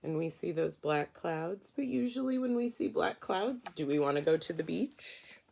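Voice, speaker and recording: A woman's voice: fairly low pitch, animated, normal speed, creaky, darkish tone. A woman teaching through a storybook read-aloud, guiding children and prompting them with questions.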